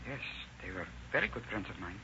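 An actor playing a nervous, evasive young murder suspect, hesitant and stiffly formal, in an old radio drama. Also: male voice, sits low, big pitch swings, brisk, bright, forceful tone, breathy edge, full character voice. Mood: defensive, rattled. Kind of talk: 1940s radio acting. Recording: old lo-fi radio recording with hiss.

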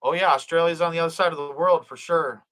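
A man's voice: on the high side, steady tone, fast talker, a bit bright. A middle-aged man hosting a live stream, reading the chat aloud, blessing viewers and praying, with plenty of fillers and restarts. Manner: hesitant, many fillers and restarts.

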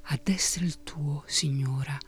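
A woman whispering the part of a stern, proud king in a fantasy audiobook, low and slow. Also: fairly even intonation, husky, exaggerated voice. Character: stern proud king, older man, commanding.

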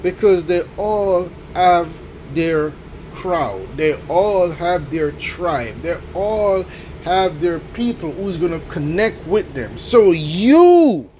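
A man with a notably high voice speaks slowly and deliberately into a podcast mic, delivering a motivational talk. He hammers his points home with repetition and tags many lines with a questioning "right?". A faint hum sits under the voice. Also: animated, darkish tone, low-quality recording. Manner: emphatic, repeats key phrases, keeps asking "right?". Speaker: podcast host.

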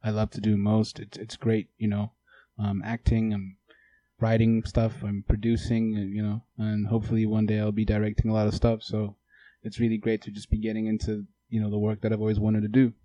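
A young man with a South African accent, co-hosting a casual podcast chat, laid-back and quick to laugh. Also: fairly low pitch, completely flat delivery, dark tone, dry recording, muffled recording. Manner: laid-back, laughs often, fills with "mm-hmm". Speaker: podcast co-host.

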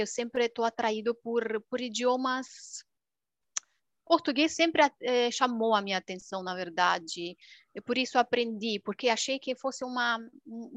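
Woman, young, Romanian accent, fairly high pitch, animated casual chat, dry sound. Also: no room sound. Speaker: language video creator.

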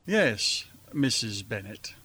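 An actor playing a weary middle-aged father and husband in a radio drama, deadpan and dryly ironic. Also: lowish voice, slow pace, husky, no echo, full character voice. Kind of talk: theatrical radio drama acting.

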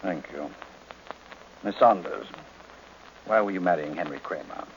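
An actor playing a large, imperious private detective in old radio drama, pompous, erudite and curt. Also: male voice, low-pitched, a little slow. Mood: shrewd, probing. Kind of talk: 1940s-50s radio drama acting. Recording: noisy, muffled old radio recording.

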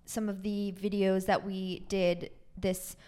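Clear-voiced young American woman. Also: mid-range voice, level tone, takes it slowly, mellow. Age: young adult. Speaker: podcast co-host. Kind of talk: casual chat.

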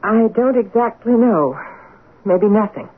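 Obsessive amateur sleuth wife, American accent, high pitch, earnest, insistent, lo-fi radio drama. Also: female voice, animated, measured pace, slightly rough. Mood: hesitant. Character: intent, obsessive detective's wife who analyzes handwriting. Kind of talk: old-time radio drama acting. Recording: muffled lo-fi radio recording.

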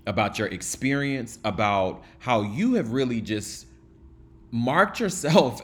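A man hosting a conversational interview, speaking in an effusive, emphatic way. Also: on the low side. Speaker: talk show host, interviewer.

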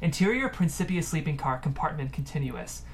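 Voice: mid-range voice, expressive, a little fast, a little hiss or hum. A narrator reading stage directions from a screenplay in a scripted table read.

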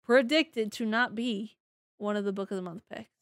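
A woman's voice: medium pitch, normal speed, no echo, clean studio sound. A young woman co-hosting a book podcast, giggly and jokey, swearing casually, a little congested. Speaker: podcast co-host. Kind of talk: casual chat.

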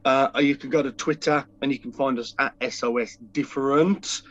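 A man's voice: mid-range voice, fairly even intonation, a little fast, fairly bright tone, dull audio. A man co-hosting a casual podcast with a cold, a bunged-up voice, rambling and restarting his sentences. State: congested with a cold. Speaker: podcast co-host. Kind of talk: casual chat.

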